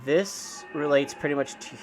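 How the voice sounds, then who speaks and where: A man giving an informal, unscripted software walkthrough, explaining step by step in a casual way. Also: a little high, normal speed, faint music behind, rough recording. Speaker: amateur radio operator giving a software tutorial. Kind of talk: informal screen-share tutorial, unscripted walkthrough.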